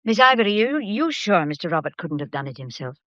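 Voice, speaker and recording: An actress playing an anxious, discreet English secretary in a radio mystery drama, hesitant, flustered and confiding. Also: female voice, mid-range voice, sing-song, quick pace, no room sound, natural voice. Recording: dull, muffled lo-fi audio.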